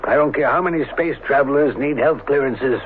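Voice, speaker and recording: A man's voice: medium pitch, fairly quick, lightly acted. A man acting a cold, secretive doctor in an old-fashioned radio drama, curt, impatient and domineering with his wife. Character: cold, irritable, secretive scientist doctor and husband. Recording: tinny, band-limited, noisy radio broadcast recording.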